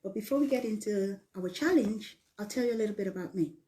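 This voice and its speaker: A middle-aged woman from Guyana who has lived in the UK, presenting a coaching talk in deliberate phrases, repeating words for emphasis. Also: mid-range voice, dark tone, low-quality recording. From Guyana, later living in the UK. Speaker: leadership coach presenting a challenge. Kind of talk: live online coaching talk from slides.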